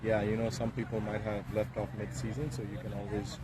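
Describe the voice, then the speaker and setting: Low-voiced Bangladeshi commentator outdoors. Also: male voice, very noisy. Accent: Bangladeshi English. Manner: relaxed, halting, many fillers. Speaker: cricket commentator. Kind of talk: casual live cricket commentary. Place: outdoors by a cricket field.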